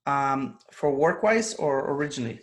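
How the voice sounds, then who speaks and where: A man's voice: medium pitch, expressive, normal speed. Man telling his story. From Poland. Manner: earnest storytelling, laughs often. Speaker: guest, online business coach. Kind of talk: casual interview over video call. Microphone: video call.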